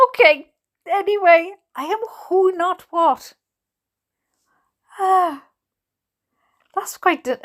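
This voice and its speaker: A Welsh woman reading aloud casually with chatty, jokey asides to her online audience, in an expressive, self-deprecating way. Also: very high-pitched. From Wales. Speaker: YouTube host reading letters aloud.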